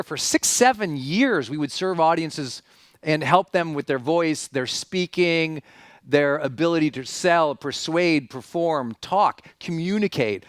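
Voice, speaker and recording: Man, motivational event host, fairly high voice, emphatic storytelling, repeated phrases, rhetorical questions, dry recording. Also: no room sound. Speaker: seminar host and speaker introducing a guest. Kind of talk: motivational stage talk, storytelling and guest introduction.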